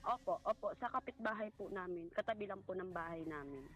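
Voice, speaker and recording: A woman with a Filipino accent giving a personal account in a halting, polite way, as a guest in conversation. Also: mid-range voice, lively intonation. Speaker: guest recounting a family story. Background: music.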